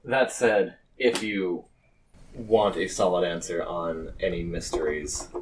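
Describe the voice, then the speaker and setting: A man's voice: low voice, fairly even intonation, slow pace, distant mic, background noise. A man running a tabletop game as game master, narrating casually.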